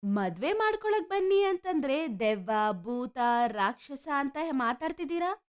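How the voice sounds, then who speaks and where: A woman voicing a frank, warm-hearted young village daughter in a story. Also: high voice, big pitch swings, measured pace. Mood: questioning. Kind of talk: animated moral story dialogue.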